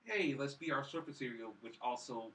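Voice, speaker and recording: A man's voice: medium pitch, animated, a little fast, fairly bright tone. A young man co-hosting a casual film review podcast, bantering in a blunt, dismissive way. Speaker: podcast co-host reviewing films. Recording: roomy distant mic, background noise.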